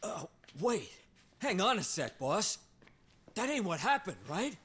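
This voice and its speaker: Slow-witted gangster sidekick, comic game cutscene, high voice, swooping worried whine. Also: male voice, very animated, fairly bright tone, breathy edge, full character voice. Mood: anxious. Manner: whining, slow-witted, pleading. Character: dim, whiny, anxious yakuza henchman.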